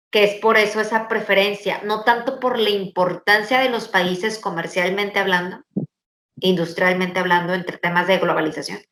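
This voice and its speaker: A woman's voice: mid-range voice, echoey room. A woman, hosting and telling stories in a casual chat with many fillers. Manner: animated storytelling, many fillers. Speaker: podcast host.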